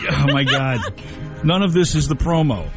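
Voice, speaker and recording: A man's voice: mid-range voice, moderate pace, music in the background. An adult man bantering and joking easily on a comedy show.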